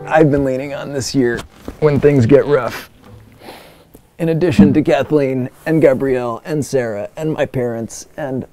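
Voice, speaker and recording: A man hosting a casual livestream, talking in a halting, informal and sincere way, as if thinking aloud. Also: medium pitch, warm tone. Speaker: livestream host. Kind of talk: casual unscripted livestream chat.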